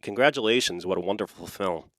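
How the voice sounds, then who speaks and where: Man, podcast host, very deep voice, dry close sound, measured questions. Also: no room sound. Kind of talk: scripted intro then interview questions.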